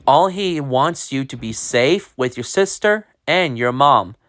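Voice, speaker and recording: Brief: reader playing kind best-friend boy, raised pitch, unhurried. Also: expressive, fairly bright tone. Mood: friendly.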